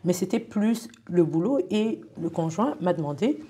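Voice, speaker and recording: A middle-aged woman whose first language is French speaks in a low, mellow voice. She talks in a relaxed, chatty way, circling back with fillers and restarts as she tells her story. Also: normal speed. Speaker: guest. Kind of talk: casual conversational interview.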